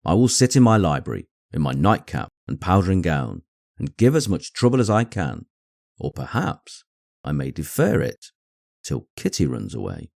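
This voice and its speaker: A man voicing a dry, sardonic middle-aged English gentleman and father in an audiobook, with a wry, deadpan manner. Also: low-pitched, normal speed, vocal fry, dry recording, exaggerated voice. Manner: deadpan, wry, mock-stern. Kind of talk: acted dialogue in audiobook reading.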